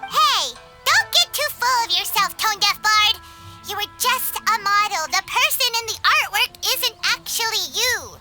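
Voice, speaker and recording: A woman voicing a small, childlike, bossy and easily exasperated fairy companion in a video game, squeaky and exclamatory. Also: animated, unhurried. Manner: exclamatory, scolding, inquisitive. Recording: music behind the voice.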